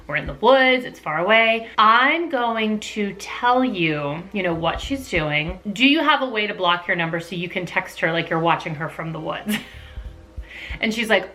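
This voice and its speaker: Bright-voiced middle-aged woman telling a story. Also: fairly low pitch, low-quality recording. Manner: animated, gossipy, acts out dialogue. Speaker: vlogger telling a personal story. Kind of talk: casual storytime monologue to camera.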